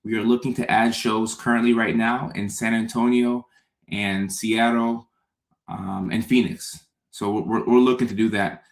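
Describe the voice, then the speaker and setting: A man's voice: on the low side, flat delivery, a little slow. Man, from Ghana, casual live-stream chat, greets viewers, echoey room. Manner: upbeat, greets viewers by name, repeats phrases. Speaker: comedian hosting a live stream.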